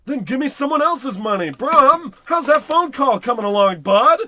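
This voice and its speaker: A man's voice: extremely high pitch, animated, normal speed, bright, forceful tone, exaggerated voice. An actor playing a brash, dim-witted, bossy salesman in a parody of old radio drama, blustering through muddled tough talk. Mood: indignant, demanding.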